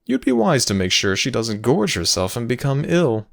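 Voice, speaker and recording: A man playing a playful, teasing god of the afterlife in a fantasy audio roleplay, speaking in a sly, measured, theatrical way. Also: mid-range voice, wildly swooping pitch, creaky. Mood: teasing, amused. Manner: theatrical, sly, measured storytelling with dramatic pauses.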